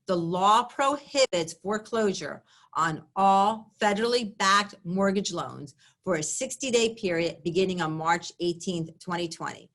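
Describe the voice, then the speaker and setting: Woman reading prepared remarks, measured. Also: mid-range voice, a bit bright. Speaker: presenter at an accounting webinar.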